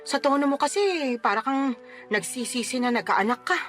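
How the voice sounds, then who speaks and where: Radio drama: stern grandma, scolds sharp, quite high voice, soft music under. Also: female voice, expressive, moderate pace. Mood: reproachful. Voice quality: scolding, dramatic swings up when outraged. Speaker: mother of the letter sender. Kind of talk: Tagalog radio drama acting. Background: faint background music.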